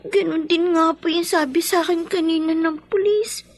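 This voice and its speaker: Filipino kid worried about family, super high plaintive voice, radio drama acting. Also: female voice, steady tone, normal speed. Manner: soft, respectful, plaintive. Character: worried, grieving child of a poor family.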